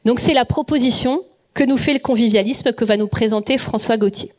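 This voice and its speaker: A woman hosting an event, giving a measured and polite formal introduction of the speaker. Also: a little high. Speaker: event host.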